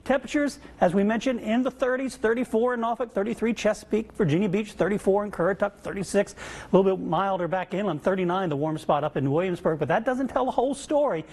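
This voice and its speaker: Male weather presenter, high-pitched voice, live local TV forecast delivery. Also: fast, a little mellow. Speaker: TV meteorologist.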